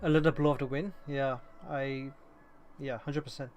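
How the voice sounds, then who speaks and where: A man's voice: medium pitch, steady tone, moderate pace, darkish tone. A man chatting casually and commenting as he live streams a game, rambling a little and repeating phrases. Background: faint noise, keyboard typing.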